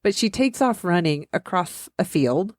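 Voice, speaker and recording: A woman's voice: lowish voice, expressive, a little slow, no echo, studio quality. A woman co-hosting a podcast, recapping a movie in casual chat, laughing often and acting out the characters' lines. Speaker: podcast co-host.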